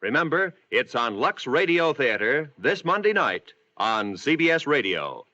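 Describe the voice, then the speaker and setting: A man's voice: medium pitch, sing-song, a little slow, bright, forceful tone. A man reading a scripted announcement in the style of a 1950s American network radio announcer. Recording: muffled old-time radio recording.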